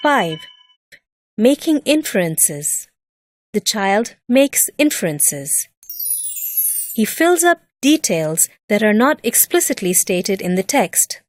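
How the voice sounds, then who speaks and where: A woman narrating a scripted educational lesson in a measured, deliberate and expressive way, like a teacher explaining step by step. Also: mid-range voice, faint music behind.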